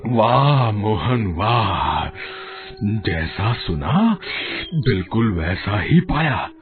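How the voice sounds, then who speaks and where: A man voicing a kindly elderly father of two girls, speaking in a slow, drawn-out way. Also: lowish voice, very animated, exaggerated voice. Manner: slow, drawn-out, warm-hearted, relishing. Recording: dull lo-fi audio, faint music.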